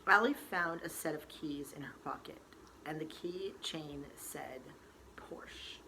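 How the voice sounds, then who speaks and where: A woman storyteller reading a novel aloud in expressive, dramatic narration with deliberate emphasis. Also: low-pitched, creaky, low-quality recording. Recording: faint background noise.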